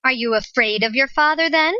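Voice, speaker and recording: A woman acts a petulant, imperious young lady in a stage farce. Her voice is high and piercing, curt in delivery, on a slightly dull, dry recording. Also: brisk, sharp tone, clear, resonant tone, dry recording. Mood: petulant, impatient. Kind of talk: comic stage-play acting.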